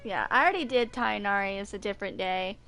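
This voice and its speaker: A woman in her twenties chatting casually and haltingly to herself during gameplay, with game music behind her. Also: mid-range voice, pushed and bright. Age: 20s. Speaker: gaming video creator. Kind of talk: casual off-the-cuff gameplay chat.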